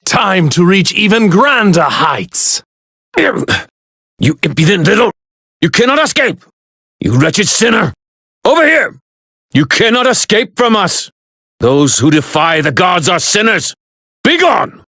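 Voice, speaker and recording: Haughty villainous god, swooping delivery. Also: male voice, high-pitched, big pitch swings, slow, long pauses, pushed and bright, no echo, studio quality, full character voice. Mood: condescending menace, then shouting in battle. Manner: arrogant, disdainful, grandly formal. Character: haughty villainous god in a stolen warrior body. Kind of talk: theatrical anime fighting game voice lines.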